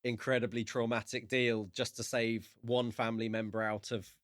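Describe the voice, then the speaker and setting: A man co-hosting a podcast, analysing a book in casual talk with measured, halting phrasing. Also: medium pitch, little pitch movement, no echo, studio quality. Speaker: podcast co-host.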